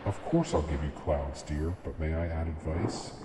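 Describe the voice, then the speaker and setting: A man voicing a kindly castle father in a children's storybook, speaking in a reassuring, fatherly manner. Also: normal speed. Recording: booming echoey reverb, loud background rumble.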